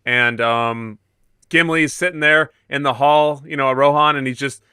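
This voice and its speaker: Guy co-hosting a podcast, bright crisp voice, joking around casually, very dry sound. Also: medium pitch, fairly even intonation, normal speed, dry recording. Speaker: podcast co-host. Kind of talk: casual chat, teasing banter.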